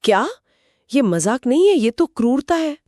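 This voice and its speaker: A woman co-hosting a horror story show, giving conversational commentary with expressive questions and reflective remarks. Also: high-pitched, normal speed, dry recording, clean studio sound. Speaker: co-host reacting to stories.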